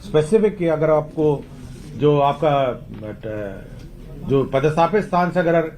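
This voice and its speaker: A man's voice: on the high side, lively intonation, takes it slowly, a little mellow, poor audio. Male official explaining, faint background noise. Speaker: government education official. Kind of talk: explaining policy at a press briefing.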